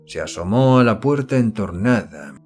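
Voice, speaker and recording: A man's voice: on the low side, lively intonation, moderate pace, darkish tone, soft background music. A man reading a horror novel aloud as an audiobook narrator, in scripted literary narration.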